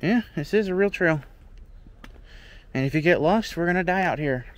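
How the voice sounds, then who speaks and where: A man's voice: fairly high pitch, normal speed. A young man narrating a casual hiking vlog outdoors, chatty and joking, filmed on a camera at arm's length. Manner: chatty, joking, relaxed commentary. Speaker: travel vlogger. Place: outdoors on a forest trail. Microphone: action camera at arm's length.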